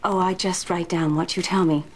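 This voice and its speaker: An actress playing a writer's modest, sympathetic assistant in naturalistic drama dialogue. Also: female voice, fairly low pitch, steady tone, moderate pace, lightly acted. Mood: sympathetic, puzzled.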